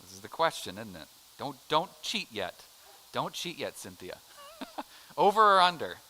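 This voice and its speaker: A man's voice: medium pitch, very animated, a little slow, pushed and bright, poor audio. A middle-aged American man teaching a casual adult Bible class, chatty and joking as he quizzes the room. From United States. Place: church classroom.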